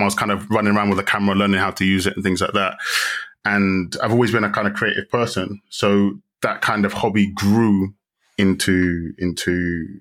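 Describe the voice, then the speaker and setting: A man's voice: lowish voice, a bit bright. A young man from London with a London accent, chatting casually as an interview guest, rambling through restarts and fillers. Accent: London English. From London, England. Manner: chatty, many restarts and fillers, self-deprecating. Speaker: guest, videographer.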